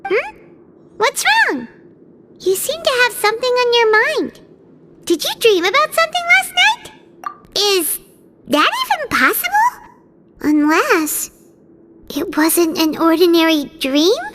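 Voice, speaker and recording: A woman voicing a small, childlike fairy companion in a video game, chatty, excitable and curious. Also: takes it slowly, pauses often, noisy background, exaggerated voice. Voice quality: squeaky, childlike. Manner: excitable, refers to herself in third person. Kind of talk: animated video game dialogue.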